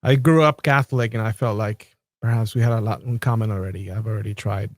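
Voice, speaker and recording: A man from Colombia who talks in a measured, thoughtful way, with some restarts, in a casual podcast conversation. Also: lowish voice, steady tone, no echo, clean studio sound. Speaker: podcast host.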